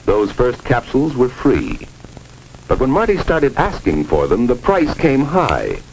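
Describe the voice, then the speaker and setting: A man's voice: medium pitch, wildly swooping pitch, measured pace, a little mellow, a little hiss or hum, rough recording. A man narrating a 1950s educational film in a grave, dramatic, moralizing manner. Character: stern moralizing narrator of a drug warning film. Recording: old film soundtrack with music. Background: music.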